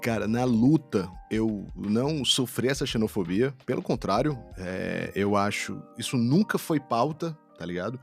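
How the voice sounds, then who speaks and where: A man's voice: on the low side, lively intonation, unhurried, vocal fry, few pauses, faint music behind. A man with a mild Cearense accent from northeastern Brazil, chatting casually and candidly as a podcast guest, with slang and the odd swear word. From Ceará, northeastern Brazil. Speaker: podcast guest, event producer and fight commentator.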